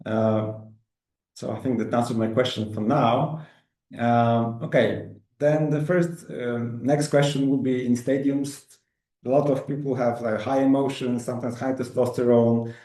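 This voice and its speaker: A man with a medium-pitched, mellow and relaxed voice hosts a webinar interview. He puts questions conversationally and recaps as he goes. The room around him sounds noticeably echoey. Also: measured pace. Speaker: webinar host asking questions. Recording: roomy, echoing room sound.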